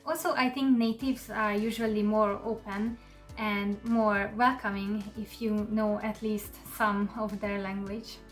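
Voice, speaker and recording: A woman whose first language is Hungarian talks casually to camera in English as a channel host, relaxed and chatty with soft fillers. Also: mid-range voice, unhurried, soft background music.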